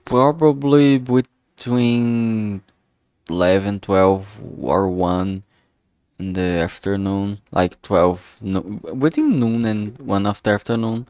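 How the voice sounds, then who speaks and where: A man streaming his game-making, chatting casually in a halting way and correcting himself as he goes. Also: fairly low pitch, a little mellow, smooth, dry recording, clean studio sound. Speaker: streamer and hobby game developer. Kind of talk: casual livestream chat.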